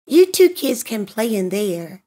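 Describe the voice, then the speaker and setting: A woman reading a children's picture book aloud in an expressive, deliberate, sing-song way for young listeners. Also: on the high side, slightly rough. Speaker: storybook reader and host of a read-aloud channel.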